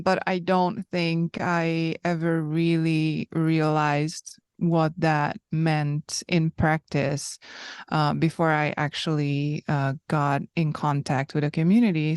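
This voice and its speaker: A woman in her thirties with a low, smooth voice, recounting her story as an interview guest. She speaks slowly and reflectively, pausing often. The sound is dry and close. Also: level tone, a little mellow, dry recording. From Hungary. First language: Hungarian. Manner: thoughtful and reflective, with frequent pauses.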